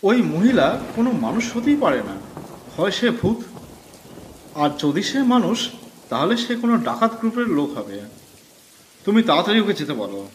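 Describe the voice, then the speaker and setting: A man's voice: high-pitched, very animated, normal speed, pauses often, a little hiss or hum. A man voicing an anxious young woman who urgently warns her boyfriend over the phone. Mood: worried. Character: worried young woman, protective girlfriend. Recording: roomy with faint music.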